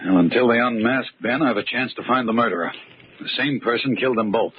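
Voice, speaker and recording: A man's voice: mid-range voice, fast, breathy edge, close to an everyday voice. An actor playing an accused man hunting a murderer in an old-time radio mystery, urgent and earnest with a dry, ironic edge. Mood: determined. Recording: tinny, noisy old radio recording.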